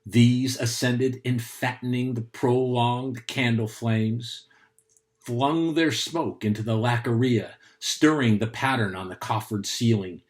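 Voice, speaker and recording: A man giving a dramatic poetry recitation, reading in a deliberate, theatrical manner. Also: medium pitch, fairly even intonation. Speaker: poetry reader, host.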